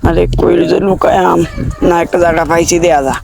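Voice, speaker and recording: A young man with a rural Bangladeshi dialect, chatting casually in a playful game and repeating his phrases excitedly. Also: a little high, fast talker, gravelly. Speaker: player in a hide-and-seek skit. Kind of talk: casual improvised play chat. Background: loud music.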